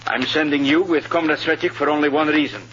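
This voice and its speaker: An actor playing a stern, suspicious male Soviet agent who gives orders in a measured, formal way in an old radio drama. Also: on the high side, steady tone, a bit bright, lightly acted. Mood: businesslike, stern. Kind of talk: 1950s radio drama acting. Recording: muffled, hissy old radio recording.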